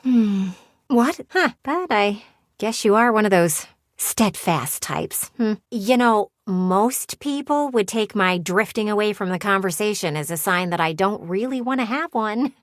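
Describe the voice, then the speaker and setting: A woman plays a living window in a video game, a teasing but wistful lady who likes to watch the world go by. Her delivery is theatrical and folksy, with lively swings in pitch. The mid-pitched voice sits close and dry, as in a studio booth. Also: measured pace, dry recording, close to an everyday voice. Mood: distracted, amused. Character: talking window, flirty yet wistful, folksy female people-watcher. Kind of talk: video game character voice acting.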